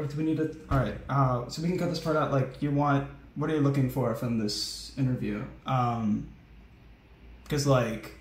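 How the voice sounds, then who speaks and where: Halting man talking casually in echoey room. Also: medium pitch. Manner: hedging, halting, conciliatory. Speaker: YouTube host. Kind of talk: casual off-script chat.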